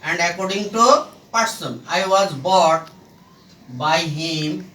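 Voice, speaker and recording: A man gives an instructional lesson in a high, bright voice. He speaks extremely slowly, setting out short phrases one at a time with emphatic stress. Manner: halting, emphatic, word-by-word phrasing. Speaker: English grammar teacher. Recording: echoey room, distant microphone.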